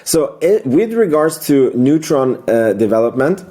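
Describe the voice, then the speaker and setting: A man's voice: medium pitch, very animated, a little slow, warm tone. A man hosting a casual financial commentary recap, rambling as he thinks aloud and repeating words for emphasis. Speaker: video host, amateur investor commentator.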